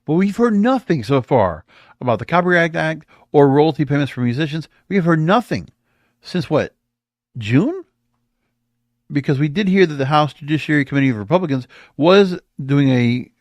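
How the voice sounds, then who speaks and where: A man's voice: a little high, no room sound. A man with an American accent delivering opinionated podcast commentary as host, emphatic and stretching words for stress. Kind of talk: opinionated solo commentary on the music industry.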